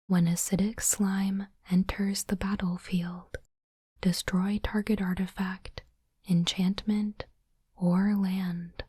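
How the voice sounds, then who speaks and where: A woman whispering gently and breathily in an ASMR style, in unhurried short phrases, close to the microphone. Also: medium pitch, dry recording. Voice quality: soft, breathy unvoiced whisper. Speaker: ASMR host unboxing trading cards. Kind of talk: whispered ASMR, reading cards and commenting. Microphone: close microphone, dry.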